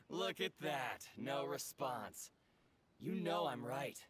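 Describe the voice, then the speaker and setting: A man's voice: mid-range voice, expressive, extremely slow, a bit bright, husky, full character voice. An actor playing a cocky, contemptuous young thief who taunts his victim in an anime game. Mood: mocking. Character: sneering young male thug, cocky thief.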